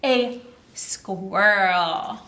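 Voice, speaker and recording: A woman's voice: mid-range voice, very slow, pushed and bright, roomy sound. A woman hosting a children's story time, reading aloud and explaining in a sing-song, exclaiming way with questions she answers herself.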